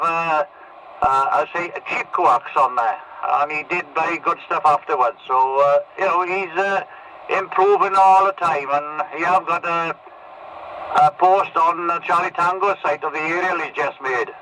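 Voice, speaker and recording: High-pitched man on noisy CB radio. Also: steady tone, normal speed. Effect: CB radio transmission. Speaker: radio net controller. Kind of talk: casual amateur radio chat.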